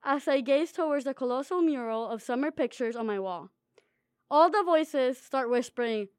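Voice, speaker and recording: A young woman with a very high, light voice reads her own personal essay aloud. Her delivery is wry and dreamy, and she pokes fun at herself. The recording is dry and close, with no room sound. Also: animated, normal speed, darkish tone, clear, resonant tone, no echo. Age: young adult. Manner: wry, dreamy, playfully self-mocking. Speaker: student author reading her own essay.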